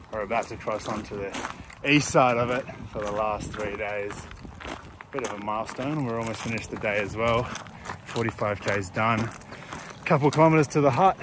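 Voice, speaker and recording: A man's voice: fairly low pitch, noisy background. A young Australian man vlogging casually to camera while out on a bush hiking trail, upbeat and chatty. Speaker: hiker vlogger. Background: music.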